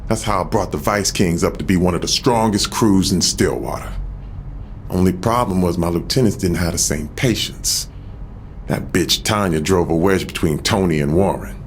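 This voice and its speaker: An actor playing a shrewd American former gang boss, self-assured and streetwise, reminiscing in a scripted game audio log. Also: male voice, deep voice, moderate pace, a little hiss or hum. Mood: cold, proud. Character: shrewd, patient male ex-gang boss turned author.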